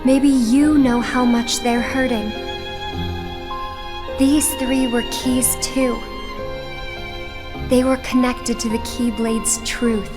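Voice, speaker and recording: A gentle teenage girl in a video game, speaking softly in a high, slow voice. Loud music plays under her words throughout. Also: steady tone. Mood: wistful. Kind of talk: video game cutscene acting.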